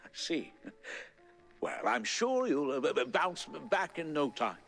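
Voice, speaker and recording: A nervous, stammering friendly fellow. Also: male voice, a little high, big pitch swings, unhurried, fairly bright tone, faint music behind, exaggerated voice. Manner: stammering and hesitant. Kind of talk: video game voice acting.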